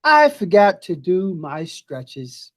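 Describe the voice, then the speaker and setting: A woman voicing an eager but nervous young boy in a children's storybook, speaking earnestly and deliberately. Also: on the low side, very animated. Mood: hesitant.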